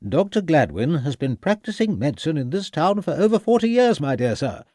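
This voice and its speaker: A man's voice: a little high, very animated, a little fast, dark tone, no echo, studio quality, pitched above the performer's own voice. A man voicing an irritable, curt schoolmaster in an audiobook mystery. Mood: irritated. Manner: curt, testy. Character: irritable schoolmaster, middle-aged.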